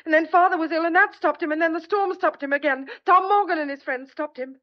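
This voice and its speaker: A woman's voice: extremely high pitch, quick pace, lo-fi sound. An actress playing an anxious, stubborn young country woman in a thriller audio drama, speaking earnestly and insistently. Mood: grim, insistent. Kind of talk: old-fashioned thriller audio drama acting.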